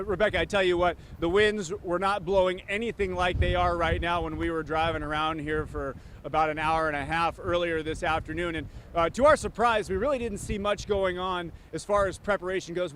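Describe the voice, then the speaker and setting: A male field reporter with a high-pitched voice delivers a live TV report. He is outdoors on a windy hillside, with clear noise around him. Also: a bit bright.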